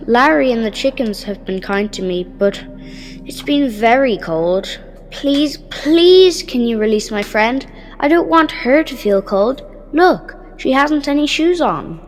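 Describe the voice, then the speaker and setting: A performer playing a gentle, polite young Irish boy in a children's audio drama, speaking with earnest care. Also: mid-range voice, animated, a little slow, faint music behind, close to an everyday voice. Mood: pleading, anxious.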